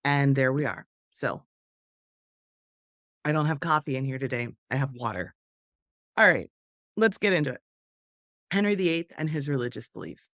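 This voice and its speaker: A hoarse woman hosting a history podcast, talking casually and with enthusiasm straight to her viewers about her topic. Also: rumbling low, animated, moderate pace, long pauses, fairly bright tone, no room sound, studio quality. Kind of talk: casual educational talk to camera.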